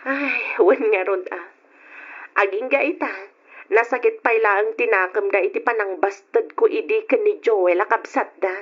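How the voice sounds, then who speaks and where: A woman playing a resolute young single mother in a radio drama, earnest and husky, with an Ilocano accent. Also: medium pitch, unhurried. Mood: defiant, fond. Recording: dull old radio drama recording.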